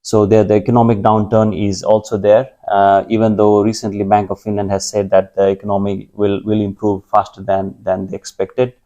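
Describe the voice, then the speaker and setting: A man's voice: lowish voice, little pitch movement, normal speed, hardly any pauses, dark tone, highs cut off. A man from Nepal in his thirties, a guest speaking in a conversational interview, hesitant with many fillers and restarts.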